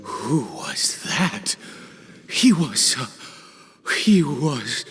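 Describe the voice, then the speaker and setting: Slow, awestruck, fervent cultist man. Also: mid-range voice, very animated, a bit bright, full character voice. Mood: awed, reverent. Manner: halting, breathless wonder, trailing pauses. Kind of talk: video game cutscene acting. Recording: long hall reverb, music behind.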